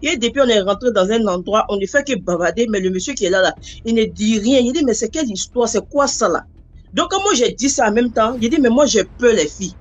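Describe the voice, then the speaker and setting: A woman telling a personal story in a rambling, animated way, acting out the voices she quotes. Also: fairly high pitch, a little fast. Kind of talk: casual spoken storytelling.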